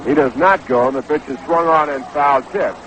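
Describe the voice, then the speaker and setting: An American man calling baseball play-by-play on the radio in a measured, descriptive way. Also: on the high side, steady tone, a bit bright. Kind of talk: live radio sports commentary. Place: ballpark broadcast booth. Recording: noisy 1960s AM radio broadcast.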